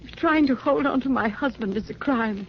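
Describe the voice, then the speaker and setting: Aggrieved, high-voiced wife. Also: female voice, normal speed. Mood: defiant. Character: dramatic, aggrieved possessive wife. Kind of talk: 1940s radio melodrama acting. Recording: muffled, faintly hissy 1940s radio recording.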